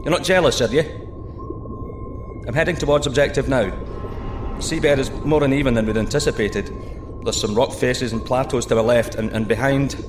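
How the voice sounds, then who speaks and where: An actor playing a plucky, excitable male astronaut in a sci-fi audio drama, breezy and cocky between clipped technical reports. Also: medium pitch, moderate pace, pauses often, reverberant, noisy background. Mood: eager, assured. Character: plucky, excitable male astronaut piloting a deep-sea microsub.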